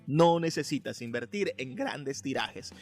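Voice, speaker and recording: A man's voice: mid-range voice, expressive, unhurried, darkish tone, soft background music. A man reading scripted promotional narration as an advertisement announcer.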